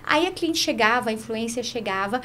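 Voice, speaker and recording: High-pitched Brazilian woman telling stories. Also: expressive, a little fast, pushed and bright, a little hiss or hum. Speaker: podcast guest, business owner. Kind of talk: conversational storytelling. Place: small padded podcast studio. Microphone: studio mic on boom arm.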